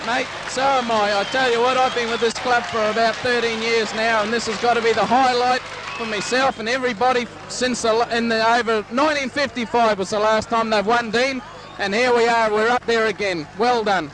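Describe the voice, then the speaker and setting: A man's voice: very high-pitched, steady tone, fairly quick, crowd noise, rough recording. A plain-spoken man, chatting as co-commentator at a local rugby league match. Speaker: co-commentator, club member. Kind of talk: casual sports commentary chat. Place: outdoor grandstand at a suburban oval. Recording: old amateur sports recording with background noise.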